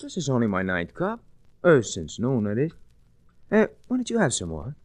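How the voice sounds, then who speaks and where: A grumbling 1940s radio taxi driver, chatty and full of slang. The pitch is middling but jumps around a lot, and the sound is dry. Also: male voice, wildly swooping pitch, moderate pace, no room sound. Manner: slangy, colloquial wisecracking. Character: grumbling, chatty working-class male cab driver. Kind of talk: 1940s radio drama acting.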